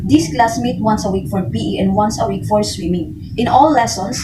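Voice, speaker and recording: A young woman, a student reading a prepared class report aloud, careful and steady with occasional slips and restarts. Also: mid-range voice, normal speed. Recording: roomy echo with faint background noise.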